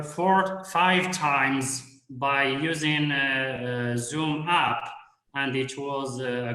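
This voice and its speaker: A man's voice: fairly high pitch, bright, forceful tone, echoey room, poor audio. A man, speaking in a hesitant way with many fillers as a guest on a video call. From Morocco. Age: 30s. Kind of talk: casual video call chat.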